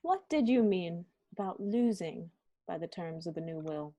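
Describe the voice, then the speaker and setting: A woman's voice: fairly low pitch, lively intonation, moderate pace, dark tone, no room sound. A woman playing a probing, sardonic police captain in an amateur radio-style mystery. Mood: wry, commanding. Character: brisk, wry police captain questioning suspects.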